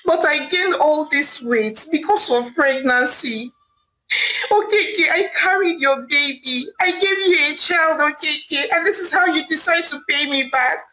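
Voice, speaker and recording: A woman's voice: sits high, unhurried, sharp tone, a little hiss or hum, voice pushed up, poor audio. A woman doing a sobbing betrayed wife. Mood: crying. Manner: wailing, tearful pleading. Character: heartbroken young wife, sobbing and accusing.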